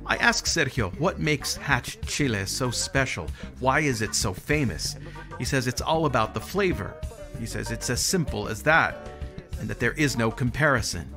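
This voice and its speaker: A man's voice: medium pitch, lively intonation, moderate pace, music in the background. A man in his forties with an American accent, delivering scripted narration as the host of a regional TV feature. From southern New Mexico, United States.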